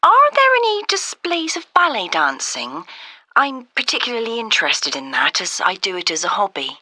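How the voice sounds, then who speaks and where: A woman's voice: on the high side, wildly swooping pitch, moderate pace, bright, forceful tone, no room sound, exaggerated voice. A woman playing a keen, polite visitor making enquiries in an acted listening exercise, eager and courteous. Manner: eager, courteous, clearly enunciated. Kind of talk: acted listening-test dialogue.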